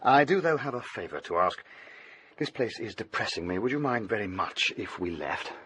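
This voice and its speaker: An actor playing a nervous, well-to-do young gentleman in a radio drama, with a British accent. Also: on the low side, expressive, moderate pace, a little hiss or hum. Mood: forced cheer.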